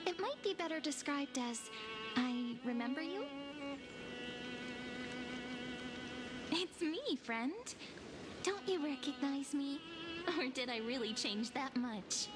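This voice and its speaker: A woman voicing a cute, playful and gently nostalgic girl in a video game, with music playing behind her. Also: high voice, lively intonation, measured pace. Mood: playful, tender. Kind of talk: video game character dialogue. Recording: prominent music under the voice.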